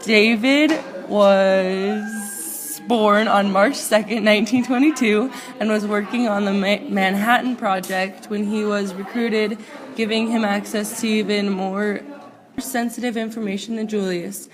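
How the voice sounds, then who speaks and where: Woman reading a script haltingly. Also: mid-range voice, steady tone, a crowd in the background. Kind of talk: scripted narration, read aloud.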